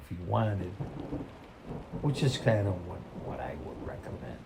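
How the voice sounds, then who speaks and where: A man reading a folksy first-person story in a halting, conversational way. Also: low-pitched, gravelly, mellow, a little hiss or hum, poor audio.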